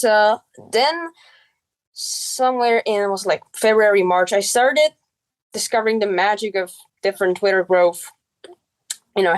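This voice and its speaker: A girl in her early teens, a native Czech speaker with a Czech accent, telling her story casually as a podcast guest. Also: medium pitch. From Czech Republic.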